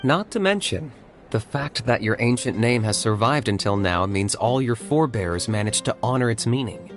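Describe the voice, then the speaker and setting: A man voicing a sensible, caring young male warrior in a video game, measured and matter-of-fact. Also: medium pitch, animated, lightly acted. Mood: earnest. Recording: music behind the voice. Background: music.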